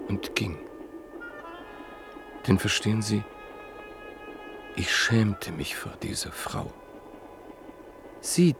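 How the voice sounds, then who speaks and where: An actor playing a reflective writer who narrates in a measured, literary way in a radio play. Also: male voice, on the low side, full character voice. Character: reflective male writer and book collector, narrator.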